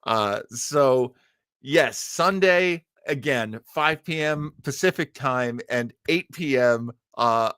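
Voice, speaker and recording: A man hosting a live-streamed show, chatting casually and jokily with viewers, rambling along and quick to chuckle. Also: medium pitch, fairly bright tone, clear, resonant tone, no room sound, studio quality.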